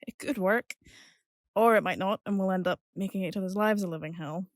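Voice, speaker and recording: An actress as a friendly young woman, a flatmate in an audio drama. She talks in a casual, forthright way at an ordinary pitch, and the sound is dry and close. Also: fairly quick, no room sound, lightly acted.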